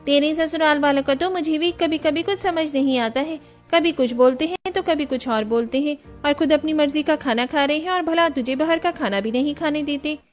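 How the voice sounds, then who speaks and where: A woman's voice: sits high, normal speed, hardly any pauses, soft background music, lightly acted. A woman voicing a sympathetic, exasperated young female friend in a family cartoon, gossipy and indignant. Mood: sympathetic, puzzled. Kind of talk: Hindi family cartoon voice acting.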